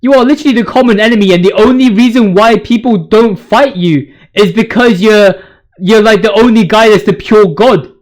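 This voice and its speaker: A man's voice: sits high, normal speed. A man giving casual, opinionated gaming commentary as a video host, ranting in slang with emphatic repetition.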